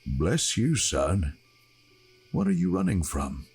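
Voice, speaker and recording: A kind, fatherly monk, played by a male narrator, talks in a low, gentle and earnest voice. It is a fantasy audio story with music underneath. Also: huge pitch swings, takes it slowly, vocal fry, husky, exaggerated voice. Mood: kindly.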